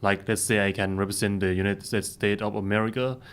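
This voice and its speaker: Soft-spoken young man from Thailand. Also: lowish voice, fairly even intonation, brisk, clean tone. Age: young adult. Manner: plain, patient explaining. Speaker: university student guest. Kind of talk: casual interview answers. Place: small podcast recording room. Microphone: studio microphone.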